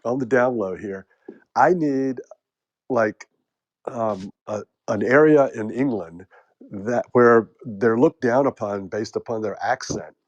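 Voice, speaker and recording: A man's voice: medium pitch, slow pace, lo-fi sound. A man with an American accent hosting a casual group chat, rambling and joking with lots of fillers. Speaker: host.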